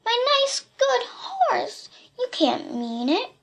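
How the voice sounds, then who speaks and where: A woman's voice: very high-pitched, huge pitch swings, slow, vocal fry, a bit bright, pitched above the performer's own voice, full character voice. A woman voicing a plucky, cheeky little girl in a storybook, with a mock-innocent, politely breezy manner. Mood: pleading, dismayed. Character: plucky, cheeky little red-headed girl, unafraid of bullies.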